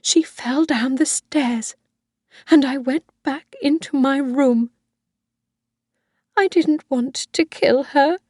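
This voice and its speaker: A woman's voice: a little mellow, no echo, clean studio sound. A woman voicing a clinging, obsessive old mother. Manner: high, pleading and clinging.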